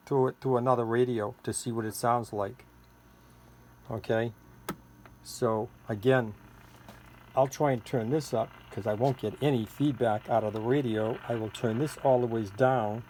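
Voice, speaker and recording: A man giving an informal, rambling, hands-on product demonstration as the seller of the gadget. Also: medium pitch. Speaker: seller demonstrating his homemade radio gadget. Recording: noisy recording with clicks.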